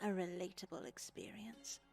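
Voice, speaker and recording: An actress playing an older convent nun in a visual novel game, genial but probing, with a measured, playfully coy way of talking. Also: female voice, lowish voice, fairly even intonation, a little mellow, faint music behind, natural voice. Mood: amused, reminiscing.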